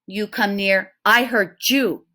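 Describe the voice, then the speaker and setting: A woman voicing a tiny, fierce, defiant warrior man who grunts in slow, broken speech. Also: mid-range voice, steady tone, pushed and bright. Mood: gruff threatening.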